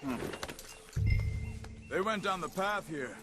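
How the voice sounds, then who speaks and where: A calm outlaw tracker in a western game. His voice sits a little high and he speaks in a measured, understated way under loud music and horse sounds. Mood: focused. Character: calm, observant male Wild West outlaw tracker. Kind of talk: dramatic video game acting.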